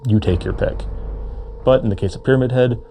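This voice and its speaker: A man's voice: medium pitch, brisk, vocal fry, mellow, slight background noise. A man presenting a cosplay build in casual, explanatory narration. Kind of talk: casual tutorial narration with comedic skits.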